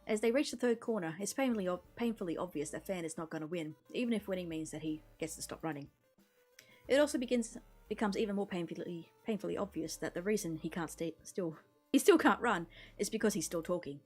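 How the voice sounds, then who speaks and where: A woman's voice: lowish voice, fast talker, a little mellow, husky. A woman streamer casually narrating a visual novel's script aloud. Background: music.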